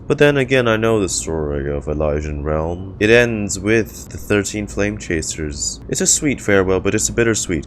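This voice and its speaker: A streamer giving casual reaction commentary on a game, blunt and excitable. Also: deep voice, a little hiss or hum.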